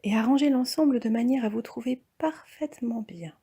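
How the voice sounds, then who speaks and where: A woman leading a guided yoga relaxation as an instructor, speaking calmly and softly, often close to a whisper. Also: fairly high pitch, a little fast. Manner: calm, soft, breathy, often near-whispered delivery.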